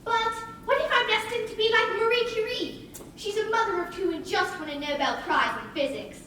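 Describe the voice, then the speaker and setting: Spirited girl, very high, bright voice. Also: moderate pace. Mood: earnest, defiant. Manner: earnest, indignant, theatrical. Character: spirited, defiant, dreamy young girl. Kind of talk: theatrical stage acting. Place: theatre stage. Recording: echoey stage recording, distant mic, faint noise.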